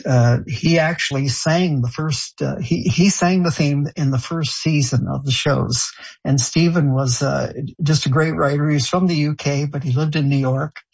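A man born in Canada and raised in France, speaking in an interview with a gravelly, husky voice. He talks haltingly, full of fillers and restarts. The audio is dull and lo-fi. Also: a little high, darkish tone. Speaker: show creator and songwriter. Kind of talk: interview answers.

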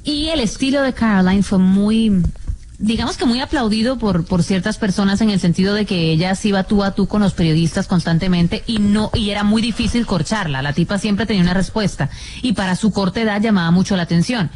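A woman's voice: medium pitch, a little fast, a little mellow, slight background noise. Chatty woman on radio. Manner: chatty, playful, mixes in English phrases. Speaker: radio co-host. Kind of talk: casual radio chat.

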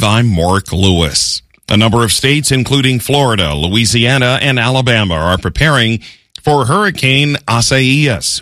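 A man reading the news as a radio station anchor, with a measured newsreader delivery from a studio. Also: mid-range voice, fairly bright tone, no echo. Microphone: studio microphone. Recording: dry close studio sound.

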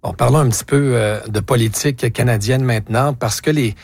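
A male interviewer with a husky, slightly rough voice. He asks direct, probing questions and follows up on his guest's answers. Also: mid-range voice, a little fast, a little mellow.

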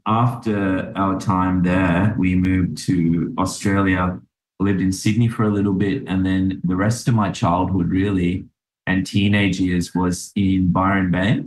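A man's voice: low voice, roomy sound, poor audio. A man from Sri Lanka, a doctor, giving reflective interview answers with many fillers and restarts. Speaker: podcast guest, doctor.

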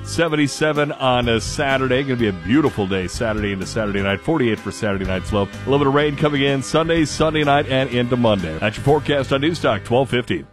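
A man reads a radio weather forecast in an easygoing, conversational way, using a mid-range voice. Soft music plays faintly underneath. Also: medium pitch, expressive, fast, hardly any pauses. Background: music bed.

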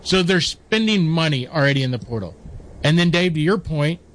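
Brief: male sports radio co-host, nasal and high, rambling and opinionated. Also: animated, brisk, a bit bright. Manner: opinionated, rambling, talks in long run-on takes. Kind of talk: casual sports talk.

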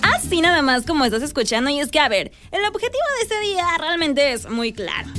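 A Mexican woman hosts a radio show in a casual, rambling way, leaning on fillers as she works through her point. Her voice sits high. Music plays clearly under her. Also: sing-song, fairly quick, pushed and bright. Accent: Mexican Spanish. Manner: chatty, filler-heavy, thinking aloud. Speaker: radio host. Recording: radio broadcast with music bed.

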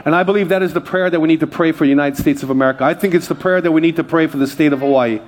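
Male pastor, passionate preacher who works the room, pitched a bit high. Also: very fast. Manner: energetic preaching with crowd call-outs. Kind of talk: sermon.

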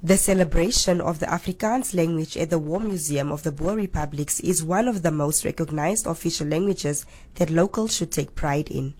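A woman with a South African accent delivering a scripted news report in a steady, formal way. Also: low-pitched, normal speed, few pauses, slightly rough.

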